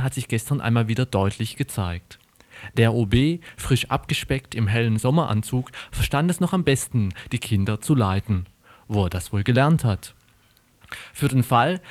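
A man's voice: medium pitch, darkish tone, no room sound. A man reading a scripted satirical radio report in a wry, ironic manner.

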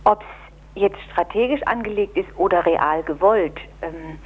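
Woman, lower pitch, husky edge, deliberate formal phrasing, radio interviewee. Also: phone-quality sound. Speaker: interviewed women's equality officer. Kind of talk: spoken radio interview answers.

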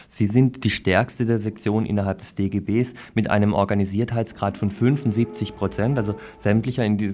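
A man's voice: on the low side, a little mellow. A man presenting a radio news magazine in a partly informal style, introducing and reporting as the host.

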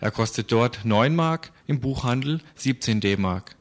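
A man reads a scripted radio news report. His voice sits at an ordinary middle pitch. He delivers it as a reporter narrating prepared copy. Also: expressive, measured pace.

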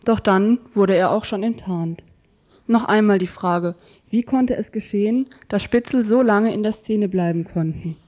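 A woman's voice: medium pitch, measured pace, dark tone. A woman presenting a scripted radio report and introducing quotations.

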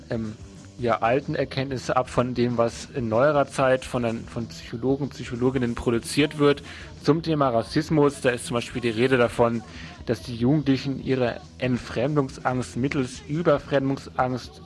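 Man reading radio event announcements, slightly halting. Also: medium pitch. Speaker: radio presenter.

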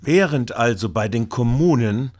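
A man narrating a scripted report with commentary, speaking haltingly, with hesitations. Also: medium pitch, animated, darkish tone.

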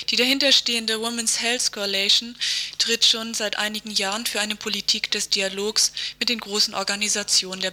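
A woman's voice: medium pitch, bright, forceful tone. A woman presenting a radio news report, reading a scripted introduction and commentary.

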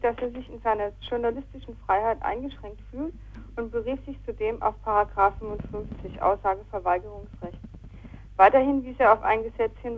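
Female news correspondent, slightly high voice, scripted court report, muffled lo-fi radio phone-in sound.

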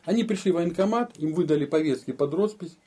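A man's voice: a little high, animated, fast talker, dark tone, breathy edge. A man giving an explanatory account of his own experience in a casual interview, repeating phrases for emphasis. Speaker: interviewee, eyewitness.

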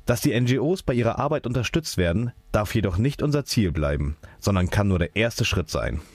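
A man's voice: fairly low pitch, sing-song, fairly quick, no echo. A man speaking as an activist, delivering a scripted political rally speech.

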